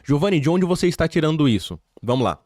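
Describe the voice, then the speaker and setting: Animated Brazilian man hosting. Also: a little high, fast, dry recording, clean studio sound. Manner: animated, emphatic, addresses the audience directly. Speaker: podcast host and English teacher. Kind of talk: casual interview chat. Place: podcast studio.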